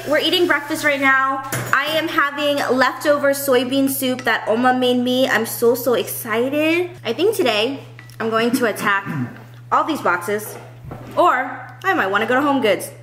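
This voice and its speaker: A woman's voice: high-pitched, normal speed, fairly bright tone. A young adult woman with an American accent chatting casually to camera as a vlogger, rambling and informal. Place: at a table in an open-plan house.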